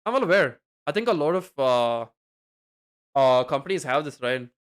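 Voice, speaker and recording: A man's voice: medium pitch, animated, normal speed, smooth. A young man streaming late at night, chatting loosely with his viewers, full of fillers and casual swearing. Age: young adult. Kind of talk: casual stream chat reading chat.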